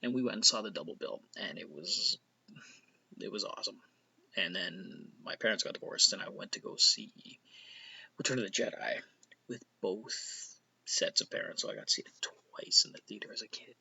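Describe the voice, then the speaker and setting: A man's voice: lowish voice, very animated, moderate pace, vocal fry, bright, forceful tone, husky, highs cut off. A middle-aged Canadian man with a Canadian accent, rambling casually as host of his own vlog, often sliding into a near whisper. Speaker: vlogger host.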